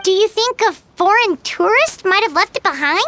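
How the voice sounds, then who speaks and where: A woman voicing a small, cheeky, food-loving fairy companion with a squeaky childlike voice in a video game. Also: normal speed, background noise. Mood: curious. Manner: animated, refers to self in third person. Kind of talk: video game character acting. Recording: music playing behind.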